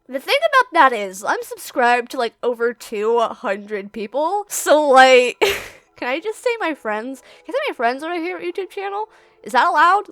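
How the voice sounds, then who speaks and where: A woman's voice: on the high side, fairly bright tone. A woman casually reading out viewer questions and answering them in a rambling, giggly, self-deprecating way. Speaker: YouTube creator and amateur comic dub voice actor. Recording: music playing behind the voice. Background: background music.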